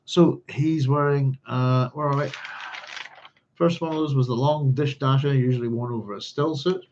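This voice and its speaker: A man's voice: mid-range voice, dull audio, slight background noise. A man with a Northern Irish accent hosting an informal book club, talking through a novel in a rambling, scattered way and often thinking aloud. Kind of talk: informal read-along commentary on a novel.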